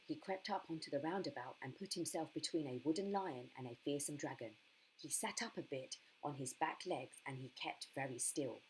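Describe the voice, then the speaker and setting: A woman's voice: low-pitched, darkish tone, a little hiss or hum, rough recording. A woman reading a children's story aloud as a primary school storyteller.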